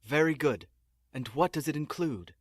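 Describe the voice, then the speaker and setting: A man's voice: a little high, moderate pace, husky, dry recording, studio quality. A man playing an exasperated, officious assistant in a sci-fi comedy audio drama, formal, sarcastic and condescending. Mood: curt, condescending. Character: exasperated, officious male assistant prepping space recruits.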